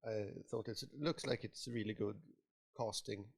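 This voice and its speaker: A man with a Swedish accent co-hosting a podcast, talking slowly with many pauses and fillers. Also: lowish voice, creaky. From Sweden. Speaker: podcast co-host. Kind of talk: casual chat.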